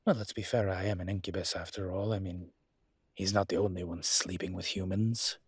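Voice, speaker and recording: Man playing a low, husky, weary incubus. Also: fairly even intonation, no echo. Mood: persuasive. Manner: measured, halting, imploring with dry asides. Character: weary, earnest male incubus demon pleading diplomatically. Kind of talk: dramatic audio roleplay.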